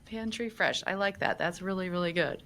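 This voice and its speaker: A woman hosts a farm magazine's podcast interview, her voice pitched low. She asks long, measured questions with an occasional "you know". Faint noise sits under her voice. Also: animated, gravelly, a bit bright. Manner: measured, thoughtful questions with fillers. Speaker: podcast host, magazine editor.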